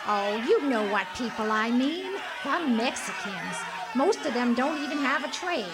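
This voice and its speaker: A prim older busybody played by a woman in a live radio play. The voice is high and very animated, full of haughty disapproval. There is clear noise in the recording. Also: moderate pace, over a noisy crowd, close to an everyday voice. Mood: indignant. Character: prim, indignant, bigoted busybody townswoman, older. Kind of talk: radio drama acting. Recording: noisy live stage recording.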